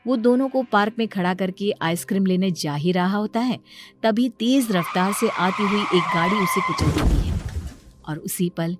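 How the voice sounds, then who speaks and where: A woman's voice: mid-range voice, moderate pace. A woman narrating a scripted dramatic story. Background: background music.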